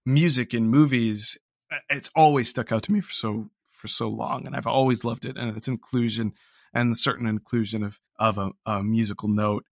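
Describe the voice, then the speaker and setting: A man with a mid-pitched voice speaks candidly in a casual interview. He is self-deprecating and reflective about his creative work. The sound is close and dry. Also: steady tone, normal speed, vocal fry, dry recording. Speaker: guest, writer and creator of an audio drama podcast.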